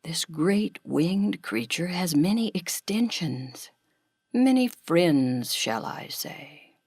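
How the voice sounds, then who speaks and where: A patient female animal guide from a children's story, played by a woman. She speaks slowly and formally, gently admonishing, in a sensible and measured way. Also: fairly low pitch, expressive, slightly rough, dry recording, clean studio sound, heavily acted. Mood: solemn.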